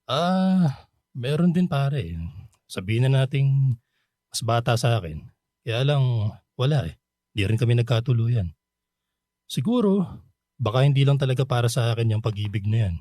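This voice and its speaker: A man's voice: medium pitch, expressive, normal speed, pauses often, dark tone, dry recording, clean studio sound, natural voice. A Filipino man playing a nostalgic, bashful middle-aged bachelor back home from abroad in a radio drama. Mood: wistful. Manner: easygoing, chuckling, sheepish.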